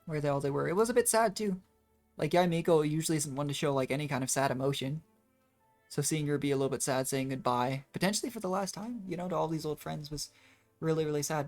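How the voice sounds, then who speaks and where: A woman streamer giving casual live game commentary, chatty and thinking aloud as she goes. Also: low voice. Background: faint game music.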